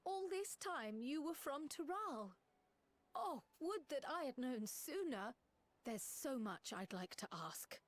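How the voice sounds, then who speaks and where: A woman's voice: sits high, very animated, slow, exaggerated voice. A woman voicing an inquisitive young female scholar in a video game, eager, polite and formal in her phrasing. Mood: excited, curious. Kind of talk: video game cutscene acting.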